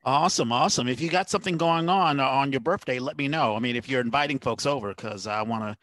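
A man's voice: medium pitch, fast, fairly bright tone, no room sound. Friendly male podcast host, dry sound. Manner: friendly, playful banter. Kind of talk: casual chat.

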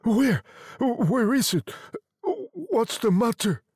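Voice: mid-range voice, lively intonation, takes it slowly, a little mellow, breathy edge, no room sound, clean studio sound, voice pushed up, heavily acted. A storyteller voicing a frightened but tender old husband, protesting in a shaky voice in a dramatic story reading. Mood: alarmed.

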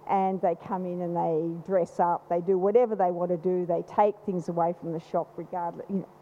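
Woman, audience member, loud voice, rambling, lowish, dull live event recording. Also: little pitch movement, brisk. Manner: rambling, many restarts. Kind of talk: unscripted comment from the floor. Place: panel event venue.

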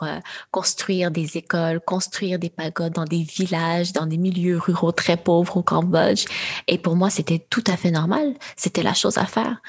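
Soft-spoken woman, slightly husky. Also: fairly low pitch. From Quebec, Canada. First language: Khmer. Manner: thoughtful, measured, with frequent fillers. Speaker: guest, AI entrepreneur. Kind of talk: interview conversation.

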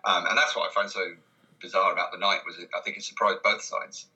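A man's voice: sits low, steady tone, fast, crowd noise, rough recording. A man talking casually as an interview guest, in a hesitant, rambling way, often breaking off and restarting his sentences. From Britain. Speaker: interview guest, comedy writer.

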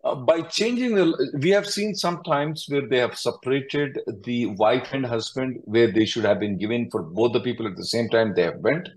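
A man's voice: mid-range voice, normal speed, few pauses, poor audio. A man working as an attorney and host, answering callers bluntly, often repeating himself and cutting in. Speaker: immigration attorney hosting a call-in. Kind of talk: question-and-answer advice call.

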